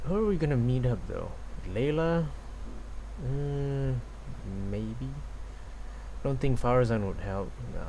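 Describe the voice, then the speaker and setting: A man's voice: mid-range voice, animated, a little slow, mellow, a little hiss or hum, rough recording. A man giving casual, hesitant gameplay commentary, thinking aloud. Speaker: game streamer. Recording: game music behind voice.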